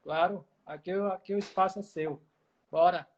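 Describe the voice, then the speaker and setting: Stream host, even monotone, short affirming replies, dull lo-fi sound. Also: male voice, sits high, moderate pace. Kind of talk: casual live-stream interview.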